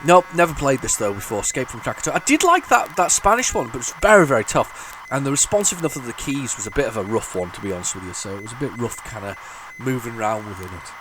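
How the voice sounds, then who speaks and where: A man hosting a retro gaming video, talking casually while loading old tapes, with a head cold and a rambling, restart-filled way of talking that jumps into excited bursts. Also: medium pitch, quick pace, hardly any pauses, background noise. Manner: rambling, chatty, lots of restarts and sudden excited outbursts. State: has a head cold, sniffly.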